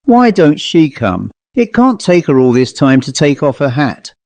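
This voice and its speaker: A man's voice: fairly high pitch, very animated, a little slow, a little mellow, dry recording. A man voicing an earnest, anxious country woman, an aunt in a storybook, hesitant yet insistent.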